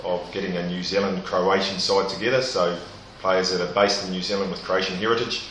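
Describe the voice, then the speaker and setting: A man's voice: low-pitched, flat delivery, brisk, fairly bright tone, slight background noise. A man, the team captain, answering interview questions hesitantly with many fillers. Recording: very echoey room.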